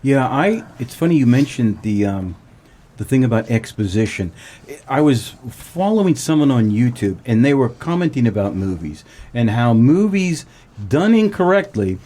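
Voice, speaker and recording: A man's voice: mid-range voice, sing-song, moderate pace, warm tone. A man hosting a radio show in a conversational, unscripted way.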